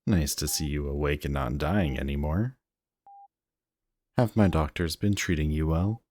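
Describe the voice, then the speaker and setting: A man's voice: extremely low pitch, steady tone, measured pace, darkish tone. A man playing a smug, guarded supervillain in an audio roleplay, teasing and commanding, grudgingly protective of the person he is addressing. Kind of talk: scripted roleplay audio drama addressed to the listener.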